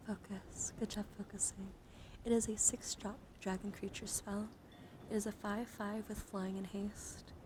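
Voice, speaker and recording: A woman hosts an ASMR livestream in a soft, gentle voice at a medium pitch. She speaks close to the mic and often slips into whispers. Background ambient noise is clearly audible behind her. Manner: frequently drops into whispers. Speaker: livestream host. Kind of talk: soft-spoken ASMR card reading and casual stream chat.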